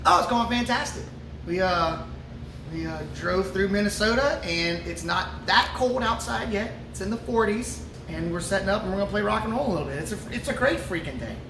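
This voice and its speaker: A man's voice: high voice, expressive, brisk. Laid-back man in an echoey brewery. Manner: laid-back, joking, many fillers. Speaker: musician answering fan questions. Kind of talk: casual Q&A chat. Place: brewery before a show. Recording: roomy echo, from a distance, ambient noise.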